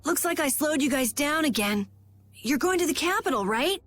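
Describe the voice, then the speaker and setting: A plucky young boy in a video game cutscene. The voice is high and childlike, weary yet eager to help, with faint music behind. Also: animated, moderate pace, a bit bright. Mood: weary, pleading.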